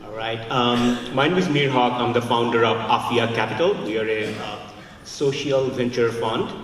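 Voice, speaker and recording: Male speaker from Bangladesh, halting delivery full of fillers, distant mic, long hall reverb. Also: mid-range voice, fairly even intonation, crowd noise. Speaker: fund founder, panel speaker. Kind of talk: conference talk. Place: large conference hall. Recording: echoey hall from far off.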